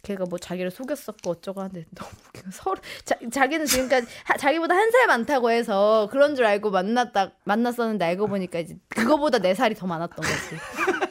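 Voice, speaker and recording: A woman's voice: on the high side, fairly quick, a little hiss or hum. A young woman co-hosting a podcast, telling a story in casual chat, laughing often and acting out the lines of the people in it. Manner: animated, laughs often, acts out quoted lines. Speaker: podcast co-host.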